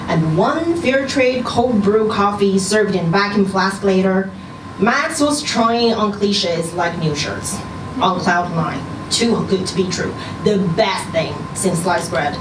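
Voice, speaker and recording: A woman giving an expressive narration of a scripted short story at a live reading. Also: medium pitch. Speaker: story reader at a live literary event. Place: indoor social venue, live reading event. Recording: roomy live venue, distant mic, noisy background.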